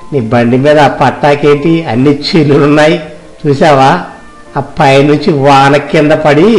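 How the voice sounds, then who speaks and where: A man's voice: mid-range voice, natural voice, low-quality recording. A man playing an arrogant, mocking rich village man in a moral story, speaking colloquial rural Telugu. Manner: mocking, boastful, condescending. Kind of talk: moral story audio drama. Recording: music behind the voice.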